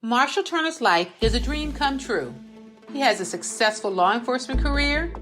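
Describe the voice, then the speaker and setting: A woman's voice: sits high, lively intonation, normal speed. A woman narrating a book trailer in a polished, suspenseful, scripted style. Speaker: promo narrator. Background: music.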